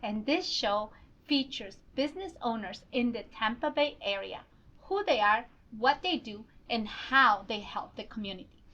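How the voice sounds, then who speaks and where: Woman, show host, friendly chatty talk, bright tense voice, higher pitch, slightly dull lo-fi sound. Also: animated, normal speed. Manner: friendly, chatty, laughs often. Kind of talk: casual interview chat. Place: online show interview.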